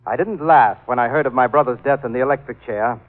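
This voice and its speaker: An actor playing a polite, controlled villain bent on avenging his brother, quietly threatening in 1940s radio drama style on a tinny recording. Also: mid-range voice, quick pace, natural voice. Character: coolly menacing, polite vengeful brother, man, controlling villain.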